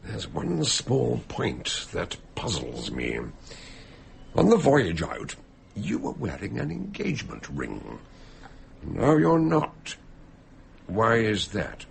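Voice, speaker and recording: An actor playing a genial, eccentric gentleman detective in a radio drama, with old-fashioned, hesitant phrasing. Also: lowish voice, wildly swooping pitch, takes it slowly, vocal fry, slight background noise, heavily acted. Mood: musing.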